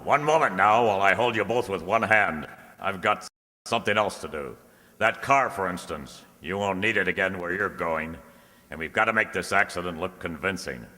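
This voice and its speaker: A man playing a confident, heroic superhero in a radio drama, calm, commanding and thinking aloud. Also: sits low, moderate pace. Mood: coolly commanding. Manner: self-assured, narrating own actions aloud. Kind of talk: old-time radio drama acting. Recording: reverberant recording with clear background noise.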